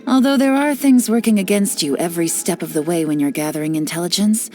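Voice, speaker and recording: Sly lowish woman doing a game spy lady, cool and teasing, bit of music behind. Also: darkish tone, lightly acted. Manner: confident, sly, measured delivery. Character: cool, cunning female spy and info broker. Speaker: game character voice lines. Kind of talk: scripted character voice lines.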